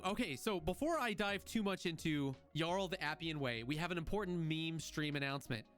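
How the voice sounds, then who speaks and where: A man's voice: on the high side, a bit bright. A man in his twenties hosting a podcast, chatting casually and jokingly, rambling with frequent restarts. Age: 20s. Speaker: podcast host. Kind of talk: casual chat.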